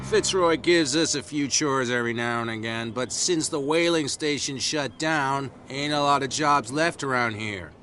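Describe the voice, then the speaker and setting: A man's voice: mid-range voice, normal speed, hardly any pauses, bright, forceful tone, a little hiss or hum, natural voice. A man voicing a talkative, conspiratorial out-of-work drunk in a video game. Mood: chatty. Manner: chatty, conspiratorial. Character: jumpy, talkative male out-of-work island drunk.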